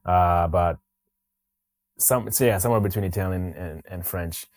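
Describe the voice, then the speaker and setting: A man from Mozambique hosting a podcast interview, talking in a casual, halting way with frequent restarts. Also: sits low, darkish tone. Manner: halting, many restarts and fillers. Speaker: podcast host.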